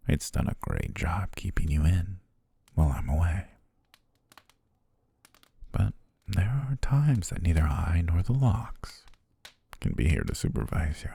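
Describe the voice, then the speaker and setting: A young man playing a devoted, quietly possessive butler, speaking softly and doting in an ASMR roleplay on a close mic. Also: sits low, gravelly, breathy edge, no room sound, exaggerated voice. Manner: soft, coaxing, doting, lulling. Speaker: ASMR voice actor.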